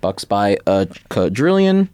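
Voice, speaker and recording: Man, podcast guest, excitable sports talk, slang and swearing, very varied pitch, close dry sound. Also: takes it slowly, clear, resonant tone, dry recording. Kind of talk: casual sports banter, picking game winners.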